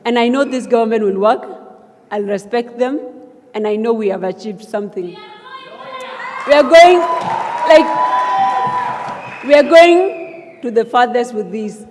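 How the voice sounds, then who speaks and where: A woman's voice: fairly high pitch, lively intonation, a little slow, long pauses, a crowd in the background, noisy background. Young woman giving an emphatic speech in a reverberant hall. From South Sudan. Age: young adult. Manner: earnest and emphatic, rising to calls of thanks. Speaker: newly elected student association leader. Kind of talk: acceptance speech at a swearing-in ceremony. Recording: long reverb of a large hall.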